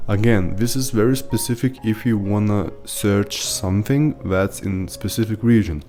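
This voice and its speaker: A man's voice: lowish voice, warm tone. A man in his thirties with Lithuanian as his first language, giving rambling, rant-style advice while showing his screen from a small home room. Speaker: YouTube host, team lead. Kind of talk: casual screen-share tutorial.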